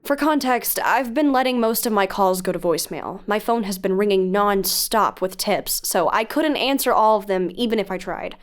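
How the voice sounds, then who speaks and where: A woman acting a composed investigative podcast host in an audio drama. She speaks at an average pitch, measured and earnest. Her narration is frank and carefully phrased. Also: natural voice. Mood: wry, frank.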